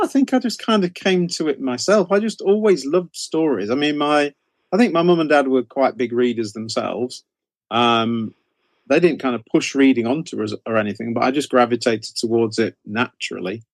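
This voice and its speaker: A man's voice: mid-range voice, animated, moderate pace, no echo. British man, dry close-sounding recording. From United Kingdom. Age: middle-aged. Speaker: interview guest, novelist. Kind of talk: casual interview storytelling.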